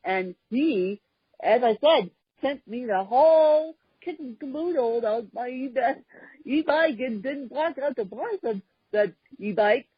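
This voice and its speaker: Woman podcast host, husky, very slow, halting delivery with pauses, dry dull recording. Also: fairly high pitch, expressive, no echo. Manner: halting, with frequent pauses and fillers. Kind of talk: casual conversational podcast chat.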